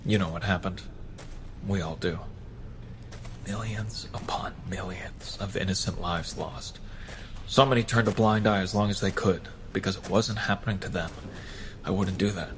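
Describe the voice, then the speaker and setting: An actor playing a grave, principled vigilante mentor in an audio drama, speaking in a measured, solemn way. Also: male voice, sits low, fairly even intonation, a little hiss or hum, lightly acted.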